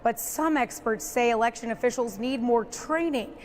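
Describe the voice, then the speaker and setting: A woman's voice: a little high, normal speed. An American woman giving a live television news report as a correspondent. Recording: clear outdoor background noise.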